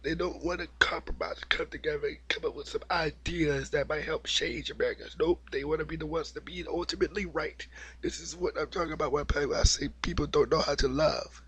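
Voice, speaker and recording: A man with a cold and a slightly raspy voice, giving an opinionated, repetitive monologue as the host of his own video. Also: on the high side, steady tone, a little fast, a bit bright. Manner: opinionated, preachy, repeats himself. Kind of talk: unscripted monologue vlog.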